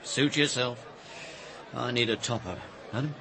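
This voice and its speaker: A man's voice: mid-range voice, a little slow, close to an everyday voice. An actor playing a blustering, exasperated publicity man, emphatic and grumbling, in a radio drama. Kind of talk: British radio drama dialogue. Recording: busy party crowd behind. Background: party chatter.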